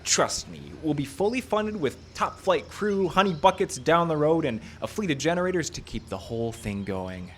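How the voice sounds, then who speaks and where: A man playing a grandiose, shifty low-budget movie director, eager and wheedling, in a radio comedy. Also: big pitch swings, normal speed, hardly any pauses, a bit bright. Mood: evasive wheedling. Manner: enthusiastic, evasive, wheedling. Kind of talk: live radio comedy acting. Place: live show in a park. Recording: live show with faint background noise.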